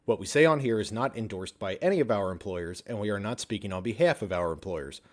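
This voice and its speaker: A man reading a podcast disclaimer in a brisk, matter-of-fact way. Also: on the low side, lively intonation.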